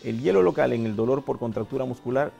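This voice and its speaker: A man in his forties, a doctor guest on a TV health show, explaining treatments in a steady, methodical way with a lapel mic in a studio. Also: medium pitch, quick pace, creaky, highs cut off, faint music behind. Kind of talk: medical explanation.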